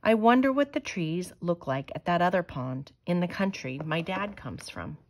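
A woman reading a children's picture book aloud in a measured, expressive way. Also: lowish voice, noisy background. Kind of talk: storybook read-aloud.

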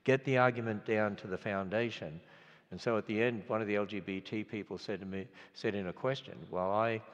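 A man's voice: lowish voice, fairly even intonation, a little fast. An older man with an Australian accent, preaching in an earnest, conversational way full of restarts. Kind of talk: storytelling sermon. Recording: lo-fi sound in a reverberant hall.

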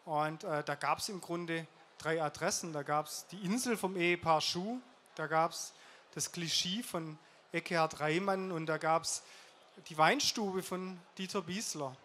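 Mann mit hoher Stimme, stockende Bühnenrede. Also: male voice, fairly high pitch, sharp tone, slight background noise. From southwestern Germany. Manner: earnest, halting, with fillers and restarts. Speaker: jury speaker at an awards gala. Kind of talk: stage speech. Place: large event hall stage. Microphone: handheld microphone.

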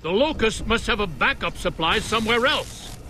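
Urgent, weary elderly scientist. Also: male voice, high voice, animated, normal speed, noisy background. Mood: instructing. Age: older. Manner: earnest, urgent, explanatory. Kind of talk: video game cutscene acting.

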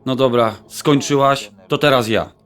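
An actor playing a determined, teasing Polish-American groom in an audio drama, emphatic and slipping into English slang. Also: male voice, mid-range voice, measured pace, fairly bright tone, clear, resonant tone, faint music behind, lightly acted. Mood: exasperated, teasing.

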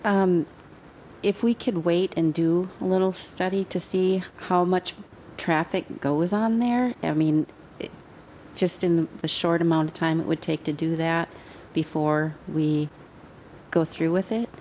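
A woman councilmember raises a tentative question at a council meeting. Her voice is mellow and somewhat low, over faint background ambience. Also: fairly even intonation, a little slow, slight background noise, low-quality recording. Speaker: city councilmember.

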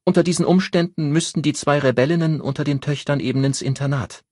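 An older grandmother acted out by a man, frank and unruffled in an audiobook novel. The voice is lifted in pitch and a little husky, with matter-of-fact, lively emphasis and teasing bite. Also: fast talker, no room sound, clean studio sound, natural voice.

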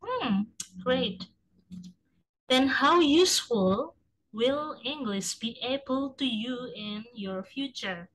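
A woman tutor asks test questions in a slow, measured way and adds short encouraging words. Her voice is fairly high. She speaks over a video call. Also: expressive, slight background noise, rough recording. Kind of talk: examiner asking questions. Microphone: video call webcam.

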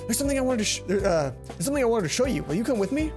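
A man reading the lines of an excited young male student inventor from a visual novel, fast and earnest. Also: very high-pitched, over music, voice pushed up. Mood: eager.

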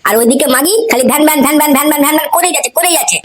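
A woman's voice: sits high, racing, a loud crowd, natural voice. A woman playing a small, harried, jobless husband in a comedy cartoon, grumbling and pleading in a whining manner. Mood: weary grumbling. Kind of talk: exaggerated comedy cartoon acting.

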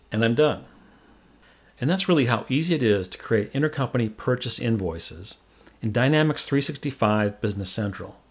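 An American man presenting a software demo, explaining each step plainly in tutorial narration. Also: medium pitch.

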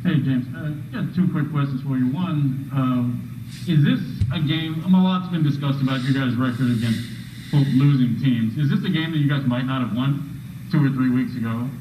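A man's voice: medium pitch, moderate pace, mellow, echoey room, recorded from a distance, slight background noise, rough recording. A man working as a sports reporter, asking questions at a press conference.

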